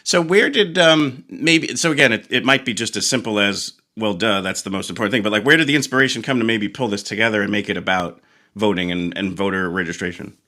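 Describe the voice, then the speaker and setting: A man hosting an interview in a relaxed, encouraging way, with many fillers. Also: on the low side, fairly quick. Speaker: show host. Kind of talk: casual interview chat.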